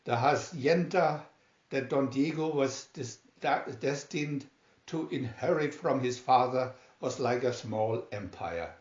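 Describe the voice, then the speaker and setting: A man reading a scripted storybook narration in a deliberate manner, in a dull, roomy recording. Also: medium pitch. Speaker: storybook reader. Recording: dull, echoey room recording.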